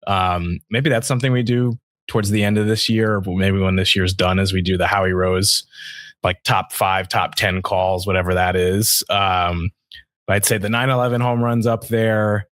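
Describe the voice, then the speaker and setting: A man with a fairly deep voice talks sports as a podcast co-host. His delivery is casual and reflective, like a conversation. The sound is very dry, with no room to it. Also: fairly even intonation, normal speed, fairly bright tone, dry recording.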